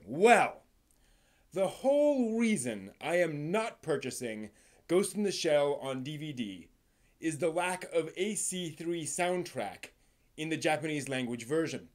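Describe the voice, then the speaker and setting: A man with a voice a little higher than most hosts a live stream. His delivery is casual and rambling, that of someone presenting informally and sometimes reading aloud. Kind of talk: casual live stream talk about anime with theatrical read-aloud segments.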